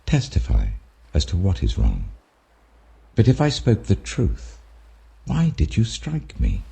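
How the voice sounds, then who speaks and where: A British man voicing a calm, authoritative holy teacher, deliberate and earnest in a husky scripture reading. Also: exaggerated voice. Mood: earnest, challenging. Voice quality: deep, husky.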